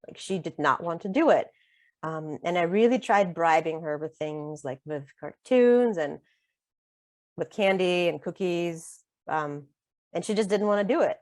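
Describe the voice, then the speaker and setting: Chatty low-voiced woman, native Russian speaker. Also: measured pace, a little mellow, no echo, studio quality. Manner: chatty, many fillers and restarts, laughs easily. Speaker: author and guest. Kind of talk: conversational interview.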